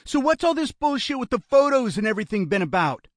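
A man's voice: a little high, expressive, unhurried, dry recording, studio quality, voice pushed up. A man voicing a violent, foul-mouthed criminal with an aggressive, sneering delivery for an audiobook thriller. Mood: contemptuous, angry.

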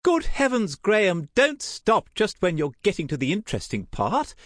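Man voicing a gushing, high-pitched older society aunt. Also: wildly swooping pitch, unhurried, dry recording, clean studio sound, heavily acted. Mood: indignant, eager. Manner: gushing, theatrical, emphatic. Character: lively, dramatic, gossip-loving older aristocratic aunt. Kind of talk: audiobook character acting.

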